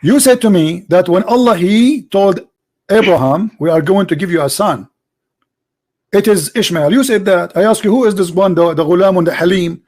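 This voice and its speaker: Casting: high-pitched man, argumentative debate host, insistent. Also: normal speed. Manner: forceful, argumentative, repeats words. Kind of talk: heated debate.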